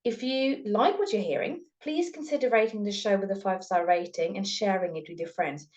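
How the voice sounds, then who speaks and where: A woman's voice: medium pitch, a little fast, darkish tone, lo-fi sound. A woman with a Norwegian accent, speaking as a podcast host. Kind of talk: scripted intro and casual interview chat.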